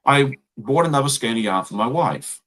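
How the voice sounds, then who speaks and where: A man's voice: medium pitch, lively intonation, normal speed, a bit bright, poor audio. A man with an Australian accent hosting a casual chat while he sews. He tells rambling stories with plenty of ums. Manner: chatty, rambling storyteller with many ums. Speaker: host.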